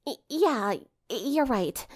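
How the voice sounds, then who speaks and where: A woman's voice: high-pitched, animated, slow, pitched above the performer's own voice, exaggerated voice. Woman doing a shy, stammering bookish teenage girl. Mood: flustered, nervous. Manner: stammering, hesitant, murmuring.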